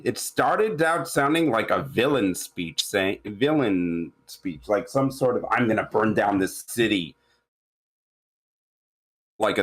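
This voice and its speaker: A man giving casual critique in a halting, rambling way, often searching for words. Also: medium pitch. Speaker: table read participant giving feedback.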